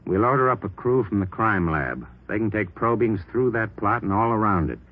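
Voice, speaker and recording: An American actor playing a shrewd police captain in a radio crime drama, brisk and authoritative as he briefs his detectives. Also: lowish voice, lively intonation. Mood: resolute. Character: shrewd, decisive male police captain giving orders. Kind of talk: 1950s radio drama acting. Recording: dull vintage radio recording.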